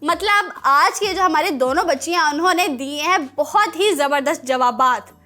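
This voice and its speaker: A woman with a Pakistani accent presenting a children's show in a playful, chatty, animated way. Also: high-pitched, normal speed, soft background music. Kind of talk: playful quiz and segment presenting.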